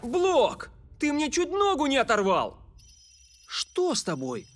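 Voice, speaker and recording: Expressive young cartoon footballer, lively pitch. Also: male voice, takes it slowly, faint music behind, full character voice. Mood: alarmed, puzzled. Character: young male footballer, puzzled, confident, friendly. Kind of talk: animated cartoon dub acting.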